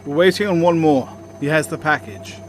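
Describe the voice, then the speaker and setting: A man's voice: on the high side, brisk, a little hiss or hum, close to an everyday voice. A man acting a callous, taunting army sergeant in an audio drama. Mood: brisk. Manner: taunting, condescending. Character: smug, callous, foul-mouthed male army sergeant.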